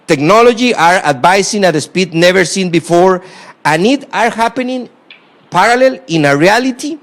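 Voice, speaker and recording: A man with a strong Costa Rican Spanish accent lectures at a university in English that is not his first language. He pauses, restarts and fills gaps often, then leans hard on key words. His voice sits a little high for a man. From Costa Rica. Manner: halting non-native English with frequent fillers and restarts. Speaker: guest lecturer. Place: lecture hall.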